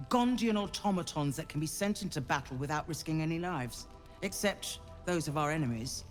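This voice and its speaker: An earnest fantasy military counsellor, speaks with stately resolve. His voice is on the high side and moves expressively through each phrase. It rings in a long reverb. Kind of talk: dramatic video game acting.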